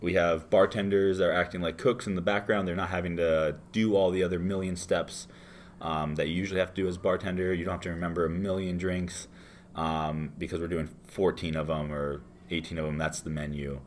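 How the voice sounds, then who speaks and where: A man's voice: sits low, brisk, slight background noise. A hungover American man in his thirties, a bartender telling loose, rambling stories as an interview guest. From United States. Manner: rambling, loose and animated storytelling. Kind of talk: casual interview chat.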